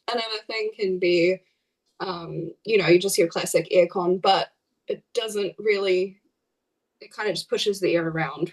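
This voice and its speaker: Gravelly woman guest, slightly off-mic. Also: medium pitch, distant mic, low-quality recording. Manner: measured, explanatory, many "um"s. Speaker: skin expert guest. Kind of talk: casual chat.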